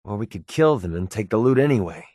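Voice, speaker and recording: An American man voicing a cynical, wisecracking young mercenary with a soft side in a video game, speaking in a casual, sardonic way. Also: on the low side, animated, fast talker, dry recording, studio quality. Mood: sardonic, tough. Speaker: video game companion character.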